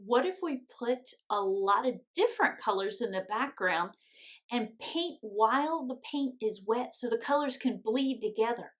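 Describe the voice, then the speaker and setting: A woman's voice: fairly high pitch, expressive, moderate pace. A woman art teacher guiding children step by step through a drawing and painting lesson, speaking in simple, encouraging, reassuring phrases.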